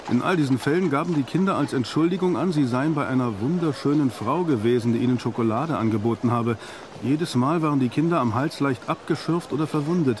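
An actor playing a learned, insistent professor and vampire hunter in a radio play, with probing, emphatic delivery. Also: male voice, mid-range voice, noisy background, natural voice. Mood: reading aloud. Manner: probing, emphatic, rhetorical questioning. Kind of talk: German radio play acting. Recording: radio play with loud background music.

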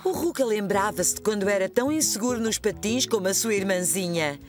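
A woman narrating a preschool cartoon as a gentle storyteller, with a European Portuguese accent. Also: mid-range voice, expressive, a little fast, music in the background, lightly acted. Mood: storytelling. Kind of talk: scripted children's storytelling narration.

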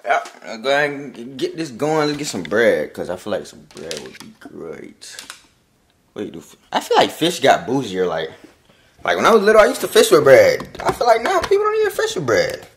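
A young man rambles to his phone camera in a relaxed, slangy, encouraging way. His pitch swings up and down a lot as he talks, and there is a faint hiss of noise behind him. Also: a little fast. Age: young adult. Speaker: vlogger. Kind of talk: casual vlog talk to camera.

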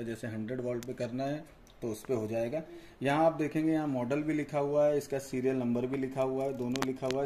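A man's voice: mid-range voice, warm tone. A man, explaining a product informally and step by step to camera. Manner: explanatory, repeats "you see" and "I'll show you". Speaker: product reviewer and audio installer. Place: indoor tiled storeroom with boxes. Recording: echoey room, background noise.

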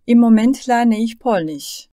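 A woman playing a confident speaker who introduces herself clearly. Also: fairly high pitch, lively intonation, measured pace, mellow, no room sound, clean studio sound. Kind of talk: scripted self-introduction for learners.

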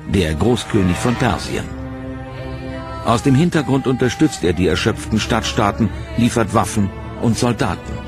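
A man narrating a history documentary from a script, in a measured, dramatic way. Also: fairly low pitch.

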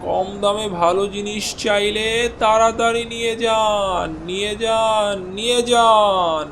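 Man as eager market hawker, cartoon, high-pitched, slow drawn-out calls, faint background noise. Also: fairly even intonation, a bit bright. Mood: hawking. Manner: drawn-out street-vendor calls. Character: hawking market meat seller, eager and slick. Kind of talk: Bengali cartoon voice acting.

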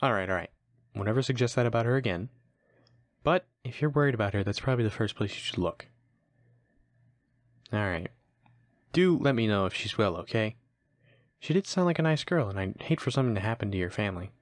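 A man playing a gruff but kindly, streetwise neighbor in an audio roleplay, talking in a casual, blunt, slangy way. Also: lowish voice, lively intonation, brisk, pauses often, no room sound, lightly acted. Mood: reassuring.

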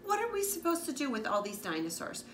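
A woman's voice: high voice, huge pitch swings, fast, a bit bright, voice pushed up, exaggerated voice. A woman voicing a weary, exasperated mother in a children's storybook. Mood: reluctant. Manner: protesting, weary. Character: exasperated, reluctant but loving young boy's mother. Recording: echoey room with background noise.

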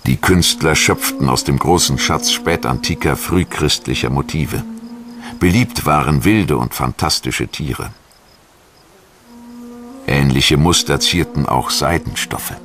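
A man's voice: a bass voice, a little slow, fairly bright tone. A man reading scripted documentary narration as the narrator. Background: background music.

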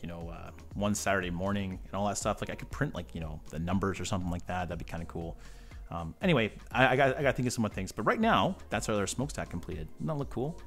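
A man with a stuffy nose from a cold, hosting a model-building tutorial and chatting casually with rambling asides as he works at a table. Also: fairly low pitch. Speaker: model-building channel host. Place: indoor tabletop workbench.

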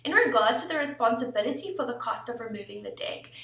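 A woman in her thirties presenting a scripted legal explainer in a steady, formal reading manner, recorded at a desk webcam in an office. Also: a little high, fast talker, creaky, distant mic. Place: office room indoors. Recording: echoey room, voice somewhat off-mic.

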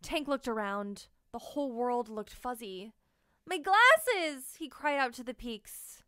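A woman narrating a children's picture book in an expressive storytelling manner. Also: fairly high pitch, unhurried, smooth, dry recording, studio quality. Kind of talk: children's read-aloud narration.

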